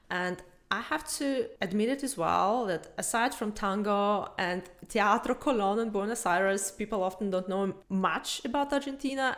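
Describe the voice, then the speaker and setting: A woman's voice: mid-range voice, fairly bright tone. A woman hosting a podcast, opening with a polite scripted introduction. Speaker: podcast host. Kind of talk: podcast interview.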